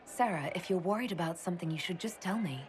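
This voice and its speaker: An actress playing a composed female leader in a video game, speaking calmly and diplomatically. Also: lowish voice, fast, natural voice. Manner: calm, diplomatic. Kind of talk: video game cutscene acting.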